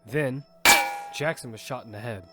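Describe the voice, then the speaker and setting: A man narrating an audio drama in first person as its lead, telling the story with quick urgency over music. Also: medium pitch, natural voice.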